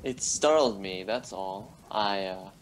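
An actor playing a polite, flustered gentleman doctor in a radio play, courteous and hesitant. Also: low-pitched, slow, slight background noise, low-quality recording. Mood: polite, uneasy.

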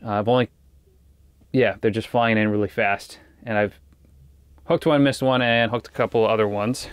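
A man's voice: on the low side, steady tone, moderate pace, smooth, dry recording. A man talking casually to viewers as a fishing vlogger, in an easygoing, rambling way. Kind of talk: casual vlog chat to viewers. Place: small ice fishing shelter on a frozen lake. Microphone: action camera facing him.

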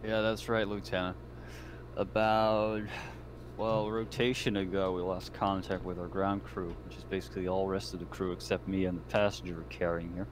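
A man plays a tabletop roleplaying game over voice chat. His voice is fairly low and his talk casual and improvised, with clear noise behind him. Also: flat delivery, moderate pace. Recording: noisy home voice-chat microphone.

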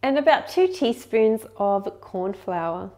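A woman's voice: medium pitch, animated, slow, darkish tone. A woman in her thirties hosting a cooking show, chatting upbeat and explaining each step in her home kitchen. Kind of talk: friendly recipe demonstration.

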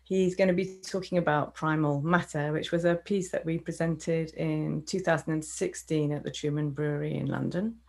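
A woman in her fifties from the UK hosts an interview over a video call, with a slightly low voice. She speaks warmly and pauses often with ums. She talks through wired earphones from a room at home. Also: steady tone, vocal fry. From United Kingdom. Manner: warm welcoming, with frequent ums. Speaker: festival director and host.

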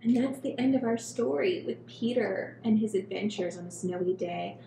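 A woman in her thirties reading a picture book aloud to small children as a story hour host, with expressive, drawn-out phrasing. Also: gravelly. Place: small indoor room at a table. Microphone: headset. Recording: roomy echo, low rumbling noise.

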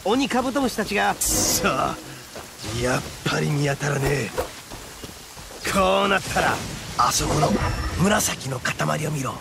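A man voicing a brash, boastful young oni gang boss in an anime game, with cocky, blustering, rough street talk. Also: fairly high pitch, animated, measured pace, long pauses, heavy background noise. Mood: excitable.

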